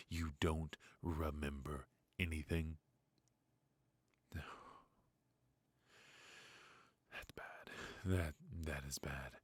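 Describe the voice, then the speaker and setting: A man playing a weary, ruthless yet fatherly mafia boss in an intimate ASMR roleplay, with a low, husky, breathy voice and a wry, sighing delivery. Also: dry recording. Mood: exasperated, alarmed. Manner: slow, pausing, sighing, wry.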